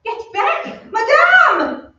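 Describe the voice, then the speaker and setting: An imperious opera lady, played by a woman with a very high, swooping voice. She commands theatrically in a radio play, picked up at a distance in a heavily echoing hall. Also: wildly swooping pitch, extremely slow, bright, forceful tone, heavily acted. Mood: defiant, alarmed. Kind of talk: old-time radio drama acting. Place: theatre stage. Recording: very echoey stage, distant, dull, noisy.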